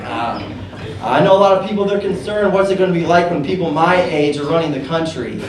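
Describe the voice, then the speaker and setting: A man in his thirties with a lisp, doing a stand-up comedy set on a handheld mic, with a halting, deadpan delivery. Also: a little high. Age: 30s. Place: comedy club with audience laughter. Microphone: handheld stage mic, amplified. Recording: echoey club recording from far off with room noise.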